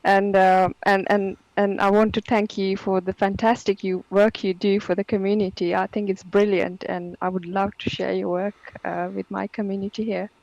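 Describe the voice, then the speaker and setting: A woman's voice: medium pitch, little pitch movement, moderate pace, vocal fry, few pauses, darkish tone, no echo, poor audio. A woman from Sri Lanka with a mixed Sri Lankan and Geordie accent, a guest in a casual interview who laughs easily and repeats words as she starts sentences. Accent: mixed Sri Lankan and Geordie English.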